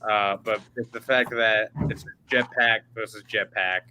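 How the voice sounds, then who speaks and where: A man talking as a podcast guest, giving relaxed, rambling opinions with small laughs. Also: on the low side, measured pace, noisy background. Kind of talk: casual chat.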